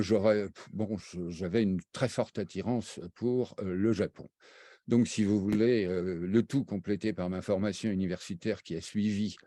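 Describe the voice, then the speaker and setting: Older male interview guest, deep mellow voice, halting and reflective, dry close sound. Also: no echo. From raised half in Brazil, half in France. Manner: halting, many fillers and restarts. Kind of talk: hesitant spoken recollection.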